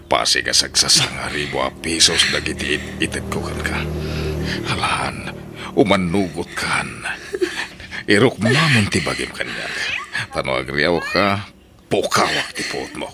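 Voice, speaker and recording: A very deep, gravelly lecherous husband. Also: male voice, lively intonation, a little slow, long pauses, sharp tone, heavily acted. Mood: menacing, insistent. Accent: Ilocano. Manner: wheedling, leering, pressuring. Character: lecherous, scheming married male employer preying on his maid. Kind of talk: Filipino radio drama acting. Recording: noisy radio drama recording.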